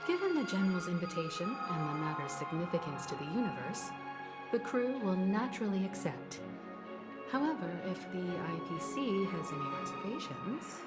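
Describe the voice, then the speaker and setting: A woman's voice: fairly low pitch, very animated, moderate pace, loud music behind the voice, rough recording. An actress playing a poised, courteous and assured woman crew member in a video game, formal and articulate.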